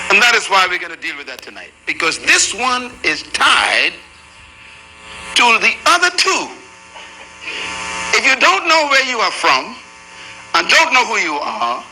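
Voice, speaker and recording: A man's voice: high-pitched, sharp tone, slight background noise, poor audio. A man preaching a sermon to a room full of people, emphatic and rhythmic. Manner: emphatic, preaching to a live crowd. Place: large room with an audience.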